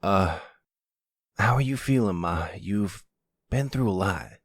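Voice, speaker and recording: A man's voice: fairly low pitch, expressive, slow pace, dry recording, clean studio sound, pitched above the performer's own voice. A man voicing an easygoing, talkative young mob underling in a roleplay audio drama, speaking casually and a bit hesitantly. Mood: teasing concern.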